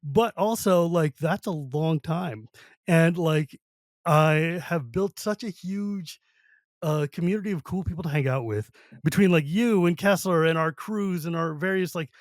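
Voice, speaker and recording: Male podcast host, middle-aged, slightly high voice, dry close mic, chatty and giggly. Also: normal speed, no echo. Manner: laughs often, rambling with restarts. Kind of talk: casual chat.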